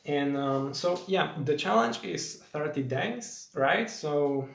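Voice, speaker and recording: A man's voice: on the high side, expressive, slow pace, vocal fry, fairly bright tone, reverberant. A young man from Slovakia with a Slovak accent, hosting a casual live Q&A in English, chatty and rambling with many fillers as he greets viewers by name. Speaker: host of a live stream.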